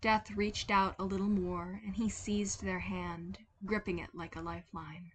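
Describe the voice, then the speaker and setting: A woman's voice: medium pitch, little pitch movement, unhurried, a little hiss or hum. A woman reading scripted fiction aloud as a dramatic narrator. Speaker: podfic narrator.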